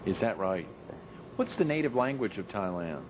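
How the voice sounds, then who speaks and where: A man's voice: fairly low pitch, big pitch swings, normal speed, background noise. A man interviewing a guest, asking follow-up questions and reacting with short comments.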